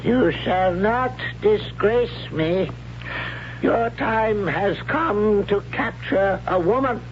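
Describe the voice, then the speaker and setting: A stern religious father ruling his tribe. His voice is fairly high and slow, declamatory and commanding in old radio style. The recording is dull, with some hiss and hum. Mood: stern, solemn. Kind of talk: 1970s radio drama acting.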